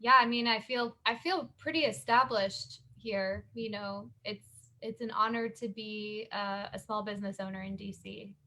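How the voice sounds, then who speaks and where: A woman's voice: medium pitch, steady tone, normal speed, sharp tone. A woman from the San Francisco Bay Area who owns a small business, hosting a live online workshop in easygoing casual chat, often laughing and trailing off.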